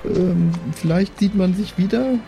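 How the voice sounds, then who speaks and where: A man's voice: high voice, unhurried, mellow, soft background music, voice pushed up. A man voicing a prim, chatty posh lady adventurer in a tabletop audio play, somewhat hesitant. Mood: prim, polite.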